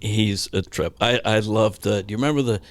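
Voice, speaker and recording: A man telling stories in a casual, wry, conversational way as a podcast guest and radio broadcaster, with a gravelly voice. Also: fairly low pitch, a little fast, a little hiss or hum. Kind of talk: casual storytelling banter.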